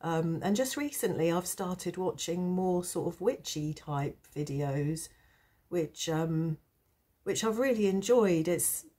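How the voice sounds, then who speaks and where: A woman with a low, mellow voice roughened by a cold and sore throat. She chats casually as a vlog host, taking her time and pausing often. Her manner is reflective, hesitant and gently self-deprecating. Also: lively intonation. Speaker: tarot channel host. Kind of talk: casual rambling chat to camera.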